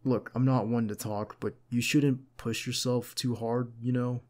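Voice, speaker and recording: A protective male bodyguard, acted by a man for an ASMR roleplay, with a mid-pitched voice. He is earnest and caring, speaking in a conversational, gently insistent way with hesitant pauses. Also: level tone, close to an everyday voice. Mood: earnest, concerned. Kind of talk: ASMR roleplay acting, one side of a dialogue.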